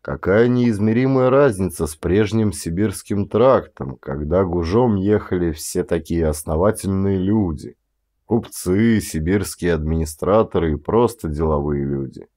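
Male audiobook narrator, low register, dramatic literary reading. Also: a little slow, mellow. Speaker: audiobook narrator and stage actor.

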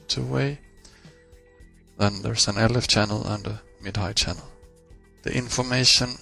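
Guy doing a screencast walkthrough, very creaky voice, bright and forceful edge, pauses mid sentence. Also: on the low side. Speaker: software tutorial presenter. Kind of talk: screencast software walkthrough, explanatory.

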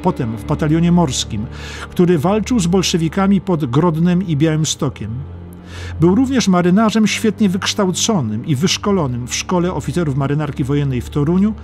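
A man reading a scripted historical audiobook as narrator, in a measured, dramatic storytelling manner. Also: on the high side, a little mellow. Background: music under the narration.

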